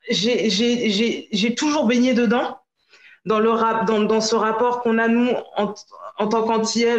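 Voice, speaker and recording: A woman guest answers casually, often circling back as she searches for words. The recording is muffled and clearly echoey. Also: mid-range voice. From France, Paris region. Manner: hesitant, searching for words with repeated phrases. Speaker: podcast guest. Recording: echoey, dull lo-fi sound.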